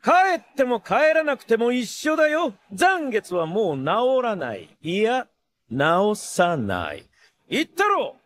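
A cocky, flamboyant anime swordsmith with the swagger of a showman. His very high male voice swings wildly across its range. The delivery is theatrical and teasing, with a playful sing-song that can drop into coldness. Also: huge pitch swings, slow pace, fairly bright tone, heavily acted. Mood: boastful. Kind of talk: Japanese anime voice acting.

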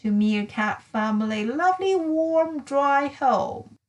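A woman's voice: sits high, lively intonation, slow pace, a little mellow. A woman voicing a homesick young male meerkat writing home in a children's storybook, plaintive and earnest. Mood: affectionate. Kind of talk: children's storybook read-aloud.

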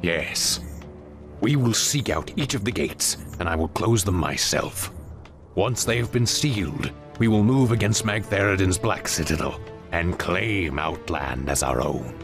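An exiled demon lord in a fantasy game, really deep, commanding and portentous. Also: male voice, lively intonation, measured pace, over music. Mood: resolute. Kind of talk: dramatic fantasy game cutscene acting.

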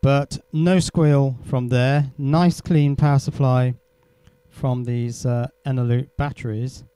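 Male hobbyist presenter, casual and halting, thinking aloud, mid-pitched, very dry recording. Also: fairly even intonation, warm tone, clean tone, no room sound. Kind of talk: casual hands-on demonstration. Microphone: close handheld recorder microphone.

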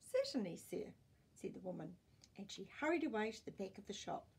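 An older woman reading a picture book aloud expressively, pausing to put questions to her listeners. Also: medium pitch, expressive, slightly rough. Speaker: children's storytime reader. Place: small indoor room with bookshelves.

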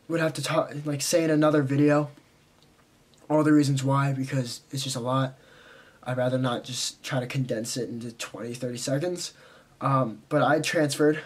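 A young man with a cold, sounding stuffy and congested, rambling casually to the camera from his bedroom. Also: mid-range voice. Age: young adult. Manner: rambling, chatty, quick asides. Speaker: vlogger. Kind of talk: casual vlog talk to camera. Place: bedroom, indoors, small. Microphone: camera in front at close range.

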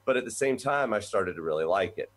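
A man in his forties, a voice professor from the United States, telling his background in a relaxed, rambling way over a video call from a small office. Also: lowish voice, fast. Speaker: guest, voice professor. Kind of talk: conversational storytelling. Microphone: webcam on video call.

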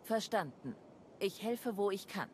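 An actress playing a composed, serious woman adviser in a video game, measured and grave in manner. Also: medium pitch, animated, slight background noise, natural voice. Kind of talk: video game cutscene acting.

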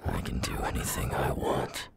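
A man voicing a menacing, gloating young demon villain in an ASMR audio roleplay, with a husky, breathy, gravelly voice. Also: moderate pace, noisy background, close to an everyday voice. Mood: hungry, gloating.

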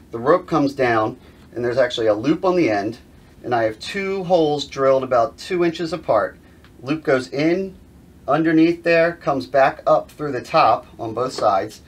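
A man in his thirties giving a casual, conversational hands-on explanation to camera, in an informal way. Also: medium pitch. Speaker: host of a DIY outdoor gear channel. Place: furnished indoor gear room at home.

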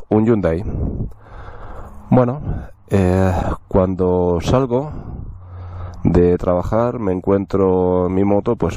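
Man motovlogger, deep dark voice, chatty and wry, outdoors, helmet on. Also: dry recording. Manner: chatty, wry, storytelling with asides to viewers. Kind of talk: casual vlog talk to camera.

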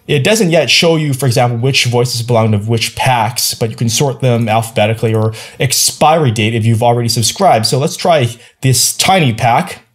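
A young man narrates a software walkthrough in a casual, playful way. He drops animated, slangy asides as he goes, sounding like someone at his computer at home. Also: mid-range voice, normal speed, hardly any pauses. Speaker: tutorial presenter. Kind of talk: casual screencast tutorial narration. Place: small indoor room at home.

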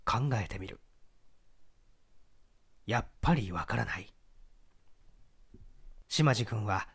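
A man narrating literary fiction from a script as an audiobook reader, with deliberate, theatrical storytelling. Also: fairly low pitch, animated, gravelly, fairly bright tone, slightly rough.

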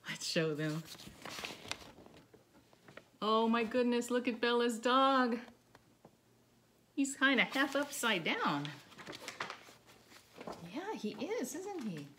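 A middle-aged woman reads to children and a dog in a high, bright voice. She speaks in an animated sing-song, coaxing her listeners with questions. The home living-room recording has noticeable noise behind her. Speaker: therapy dog owner reading a storybook aloud. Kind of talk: children's read-aloud, talking to a dog and young viewers. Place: living room by a stone fireplace, indoors. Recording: clearly noisy home recording.

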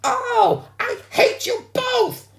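An adult storyteller voicing a bossy, dramatic young schoolgirl, with a shrill, whiny squeal when upset. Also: huge pitch swings, normal speed, warm tone. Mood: furious.

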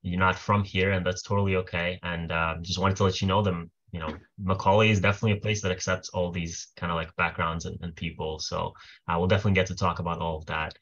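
Low-voiced young man presenting a webinar. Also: little pitch movement, fast, fairly bright tone, lo-fi sound. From Israel. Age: 20s. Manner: friendly, loose, many fillers. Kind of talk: casual presentation. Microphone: webcam mic on video call.

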